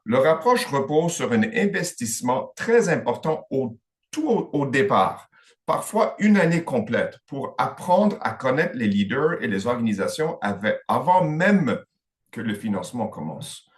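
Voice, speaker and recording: A man on an online panel describing a funding example in a measured, deliberate way with occasional restarts. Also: medium pitch, sing-song. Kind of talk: explaining an example on a webinar panel.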